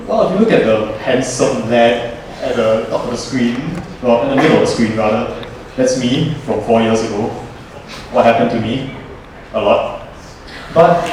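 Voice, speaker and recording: A man's voice: mid-range voice, noisy background. A young man, a student presenter, talking to a class in a chatty, joking storytelling way. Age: young adult. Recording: roomy echo from far off.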